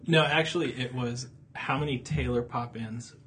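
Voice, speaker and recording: A man talking as a guest on a design podcast, explaining things in an even, matter-of-fact way. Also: medium pitch, moderate pace, slight background noise. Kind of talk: casual podcast chat.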